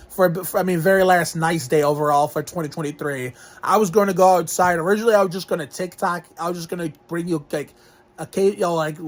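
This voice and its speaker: Young male vlogger, slightly high voice, just over a cold with a lingering cough, rambling and full of restarts. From Northeast Ohio. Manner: rambling, with frequent restarts and fillers. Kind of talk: rambling health update vlog.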